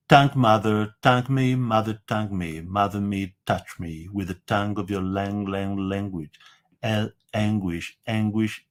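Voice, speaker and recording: Hesitant man lecturing with an Italian accent. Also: fairly low pitch. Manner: hesitant, many fillers, stresses words when reading. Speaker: teacher. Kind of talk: lecture with poem reading.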